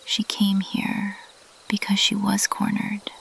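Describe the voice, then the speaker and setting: Woman, soft whispered story narration, flat hushed tone, crackly voice, lingering pauses. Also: medium pitch, creaky. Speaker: ASMR roleplay performer and narrator.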